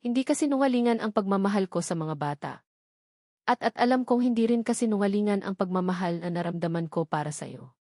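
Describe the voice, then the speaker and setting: A woman voicing a hurt but firm young woman in a romance audio drama, speaking calmly and deliberately. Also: medium pitch, no echo, studio quality, lightly acted. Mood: hurt, resolute.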